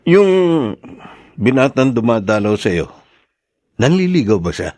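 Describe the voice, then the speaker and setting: An actor playing a kindly older brother in a radio drama. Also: male voice, mid-range voice, big pitch swings, a little slow, darkish tone, no echo.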